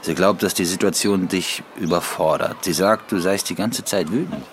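An actor playing a frank, level-headed family man in a naturalistic radio drama, candid and measured. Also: low-pitched, slight background noise, lightly acted. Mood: concerned, frank.

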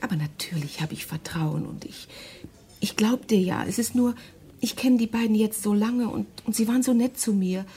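An actress playing a devoted, hesitant woman in love in a radio crime drama, soft and often whispering. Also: mid-range voice, normal speed, slightly rough. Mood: anxious, trusting.

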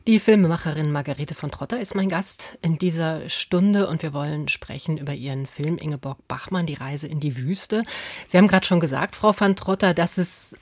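Woman, radio host, lowish pitch, dry close studio sound, prepared interview style. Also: moderate pace, dry recording.

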